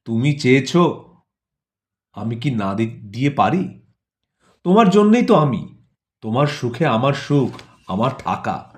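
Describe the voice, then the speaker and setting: A man's voice: medium pitch, big pitch swings, slow pace, pauses often. A man voicing a pompous ruler who fawns on his rich crony, sweet-talking and grandly obliging, in a satirical audio story. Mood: fawning, indulgent.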